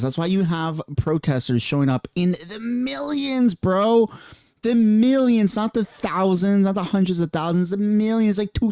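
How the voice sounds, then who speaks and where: A man's voice: high-pitched, dark tone, no echo. A man ranting in a heated, casual reaction commentary over political clips, using slang.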